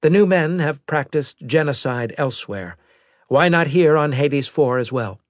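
A man voicing a brisk, formal female military intelligence officer giving precise reports in an audiobook. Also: a little high, lively intonation, no echo, clean studio sound, pitched above the performer's own voice.

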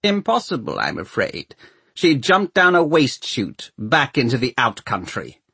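Haughty villainous city ruler, played by a man: imperious, clipped, animated intonation, storybook character. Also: medium pitch, unhurried, gravelly, husky, no room sound, voice pushed up, heavily acted. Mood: coolly ruthless. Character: haughty, commanding male Lord Mayor, coolly ruthless villain.

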